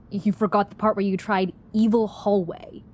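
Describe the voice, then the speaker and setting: Terse, deadpan female investigator played by an actress. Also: mid-range voice, a little slow, a little hiss or hum, lightly acted. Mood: dry, reluctant. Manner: clipped, deadpan. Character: terse, stubborn female investigator, quietly tense travel companion. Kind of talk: audio drama dialogue.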